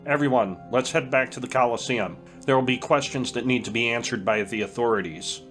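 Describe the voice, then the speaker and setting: An actor playing a calm male leader who gives composed instructions to a group. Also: mid-range voice, a little fast, faint music behind, natural voice. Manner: composed, directive.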